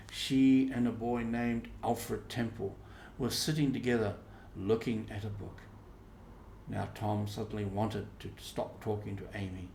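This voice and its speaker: A man narrating a storybook in deliberate, measured phrases, as an audiobook reader. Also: lowish voice, creaky, darkish tone, roomy sound, slight background noise. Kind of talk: slow scripted storybook narration with acted dialogue.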